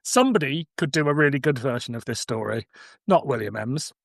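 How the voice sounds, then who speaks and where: A man's voice: mid-range voice, expressive, fairly quick, vocal fry, no room sound, studio quality. A man hosting a podcast in casual chat, with a blunt, sardonic way of talking.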